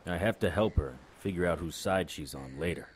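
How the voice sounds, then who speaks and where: A man playing a wry, world-weary detective narrating a noir audio drama in clipped, deadpan phrases. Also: deep voice, a little fast, slight background noise, close to an everyday voice. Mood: urgent, wry.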